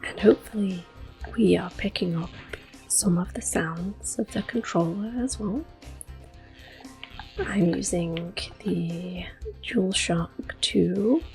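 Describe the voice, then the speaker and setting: Slow, soft whispering woman, ASMR gamer. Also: medium pitch, soft background music. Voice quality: soft, breathy, often whispering. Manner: gentle, unhurried, hushed. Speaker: gaming video host. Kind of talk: soft-spoken ASMR gameplay commentary.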